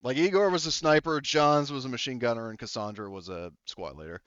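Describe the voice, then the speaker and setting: A man running a tabletop game in a loose, rambling way, laughing as he goes. His voice is smooth and clear, but the mic sounds dry and a bit dull. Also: medium pitch, fairly quick, fairly bright tone, no room sound. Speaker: game master.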